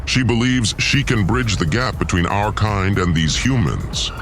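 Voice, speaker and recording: A man playing a cold, arrogant ancient god in a radio drama, delivering every line with pompous contempt. The voice sits low and steady, and faint music plays underneath. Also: unhurried. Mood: contemptuous. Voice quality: pompous, scornful declaiming. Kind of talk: audio drama acting.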